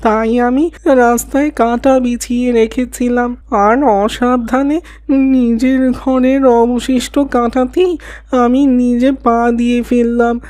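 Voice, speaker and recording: A woman's voice: a little high, steady tone, slow pace, darkish tone, no echo. A woman voicing an earnest, emotional young boy in an Islamic cartoon drama. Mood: remorseful. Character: Mahadi, remorseful boy turned devout and kind.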